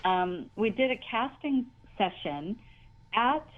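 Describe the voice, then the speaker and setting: An older woman with a high voice, chatting in an interview as a guest, talking in long rambling runs full of restarts and laughs. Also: expressive, slow, phone-quality sound. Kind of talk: casual interview chat.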